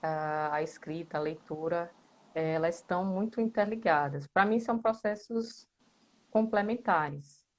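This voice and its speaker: A woman's voice: on the low side, moderate pace. A Brazilian Portuguese-speaking woman giving casual, explanatory interview answers, often checking in with small tag questions. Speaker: interviewed member of a writing group.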